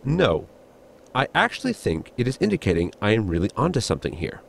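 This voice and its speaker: A man's voice: fairly low pitch, wildly swooping pitch, brisk, creaky, a little hiss or hum, exaggerated voice. An actor playing a formal, fussy inventor crewman with stiff, precise diction in a sci-fi audio comedy. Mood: earnest. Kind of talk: audio sitcom acting.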